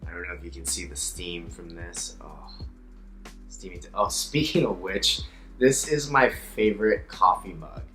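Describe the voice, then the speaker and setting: A young man with a hoarse, slightly raspy voice, an upbeat yoga teacher chatting playfully in a casual vlog. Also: deep voice, normal speed, bright, forceful tone, reverberant, faint music behind. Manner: upbeat, playful, self-mocking.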